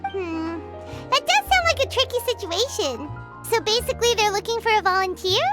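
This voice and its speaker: A woman's voice: extremely high pitch, big pitch swings, moderate pace, over music. A woman voicing a tiny floating fairy-like companion in a video game, bubbly and chatty, talking about herself in the third person. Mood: chipper.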